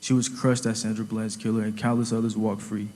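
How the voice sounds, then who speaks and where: A man's voice: on the low side, little pitch movement, fairly quick, warm tone, in a large hall, close to an everyday voice. A man in an audio drama playing a wry teenage boy who narrates his own death in a conversational storytelling way. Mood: regretful.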